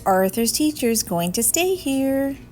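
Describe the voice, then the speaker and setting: A woman puts on a cheeky little sister from a picture book. The girl is nosy and blunt, teasing with a very animated pitch, and music plays softly behind. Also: slow, full character voice.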